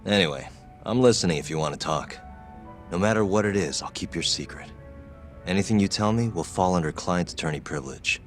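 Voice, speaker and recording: A man's voice: deep voice, lively intonation, fairly quick, faint music behind. A man playing a cool, persistent young lawyer-detective in an English-dubbed game drama, with a probing manner.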